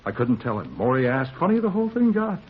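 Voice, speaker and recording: An actor playing a tough, self-justifying American bar owner shaken by a robbery, in clipped, streetwise 1950s radio police drama style. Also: male voice, medium pitch, very animated, fast talker, husky. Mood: animated recounting. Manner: clipped sentences, boastful, defensive, streetwise slang. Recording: muffled, hissy 1950s radio recording.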